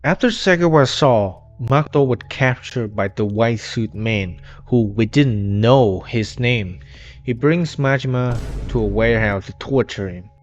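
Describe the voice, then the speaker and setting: A male video essayist narrates a game story from a script, at a middling pitch. His English grammar is slightly non-native. He is on a cheap home mic with music underneath. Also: measured pace, lo-fi sound. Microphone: inexpensive home microphone.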